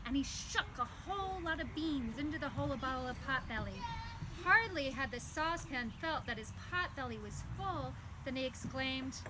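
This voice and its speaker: A woman narrating a puppet show live outdoors as a storyteller, expressive and deliberate. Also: high-pitched, background noise. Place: outdoors in a park.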